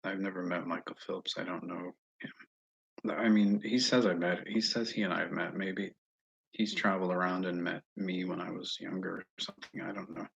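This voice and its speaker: An American man chatting casually and haltingly on a livestream, often restarting his sentences as he thinks aloud. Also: sits low, flat delivery, gravelly, fairly bright tone, breathy edge, muffled recording. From Northeast United States, mostly Massachusetts. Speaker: livestream host answering viewer comments. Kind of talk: casual rambling livestream chat.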